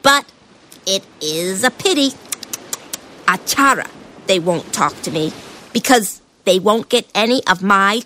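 Brief: woman as a dismissive, boastful old-tale turtle, unhurried and grumbling. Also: medium pitch, bright, forceful tone. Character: grumpy boastful male turtle.

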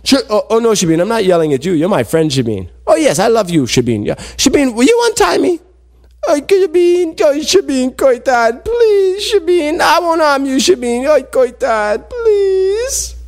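Man putting on a whiny, wheedling old uncle, high-pitched. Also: sing-song, darkish tone. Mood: pleading. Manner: whining, drawn-out pleas with repeated words. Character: lazy, gullible, greedy old uncle, pleading and wheedling. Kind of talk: animated folktale storytelling.

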